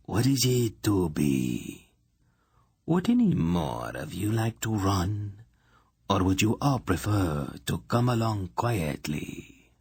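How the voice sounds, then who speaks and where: A man's voice: low voice, sing-song, slow pace, vocal fry, darkish tone, husky, no room sound, studio quality, exaggerated voice. A man voicing a sinister male priest-recruiter who purrs his words in a peculiar foreign accent. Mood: silkily menacing.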